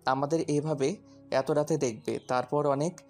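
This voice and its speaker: A man's voice: a little high, steady tone, moderate pace, a little mellow, natural voice. A man acting a brash, possessive young man. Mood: taunting. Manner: taunting, stubborn, commanding. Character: brash, possessive young man, often drunk, teasing and menacing. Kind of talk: Bengali romantic audio story acting. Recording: music bed behind the voice.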